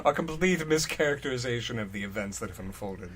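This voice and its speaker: A man's voice: mid-range voice, animated, fast, fairly bright tone. A man bantering casually and profanely over a dramatic reading, sarcastic and incredulous. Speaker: co-host of a comedy reading show.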